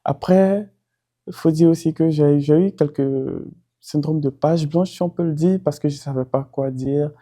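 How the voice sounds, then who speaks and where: A man's voice: a little high, steady tone, normal speed, warm tone. A man from Côte d'Ivoire, a former doctor now studying, giving a personal testimony with hesitant speech and frequent restarts.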